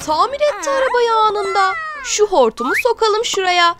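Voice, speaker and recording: An actor playing an excitable, playful little lion cub in a children's cartoon dub, exclamatory and childlike. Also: very high voice, moderate pace, heavy background noise. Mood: excited. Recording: music under the voice.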